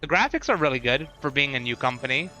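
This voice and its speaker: A man's voice: medium pitch, lively intonation, quick pace, sharp tone, poor audio. A man live streaming casual reactions and commentary, rambling as he thinks aloud, with music behind him. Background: game showcase trailer audio and music.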